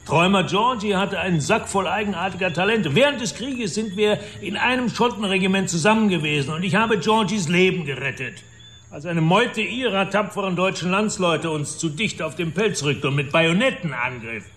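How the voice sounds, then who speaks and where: Swaggering older developer, domineering and coarse, high sharp voice, radio play. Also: male voice, animated, normal speed. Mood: boastful reminiscing. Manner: gruff, swaggering, coarse storytelling. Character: boastful, domineering older self-made male Scottish-born property developer. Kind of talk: German radio drama acting.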